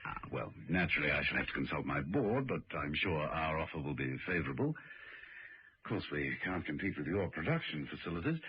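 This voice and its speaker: A man playing a suave gentleman agent in a radio drama, British accent, urbane and politely hesitant. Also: extremely low pitch, big pitch swings, brisk, breathy edge, exaggerated voice. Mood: smooth, businesslike. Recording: muffled vintage radio recording.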